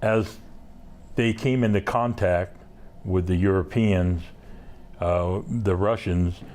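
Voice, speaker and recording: Slow, deep-voiced man from Southeast Alaska. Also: steady tone, darkish tone. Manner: slow, unhurried, halting with many fillers. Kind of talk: casual interview storytelling.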